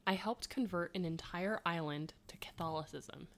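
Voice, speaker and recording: A woman's voice: lowish voice, normal speed. A woman in her thirties co-hosting a podcast, reading quiz clues and chatting, laughing often. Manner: chatty, laughs often, self-mocking asides. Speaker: podcast co-host.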